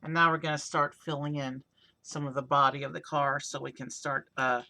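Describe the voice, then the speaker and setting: Man, hoarse, raspy, bright tone, gravelly, loose rambling stream chat. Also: on the high side, moderate pace. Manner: relaxed, rambling, many fillers. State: hoarse from overuse. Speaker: streamer building a toy car set. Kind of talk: casual live-stream commentary.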